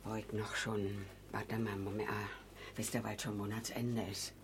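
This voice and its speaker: A woman's voice: rumbling low, little pitch movement, normal speed, background noise. An actress playing a frail, flustered old grandmother who fusses and repeats herself in a naturalistic radio drama. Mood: embarrassed, hesitant. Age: older. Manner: fussy, muttering, repeating herself. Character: frail, flustered old granny baking drug cookies.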